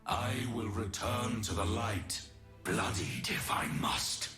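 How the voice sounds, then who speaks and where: Slow, deep, noble tormented warrior. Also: male voice, animated, music in the background. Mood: solemn, resolute. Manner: solemn, measured, poetic. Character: noble, tormented ancient male warrior resisting dark corruption. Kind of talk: scripted video game character lines.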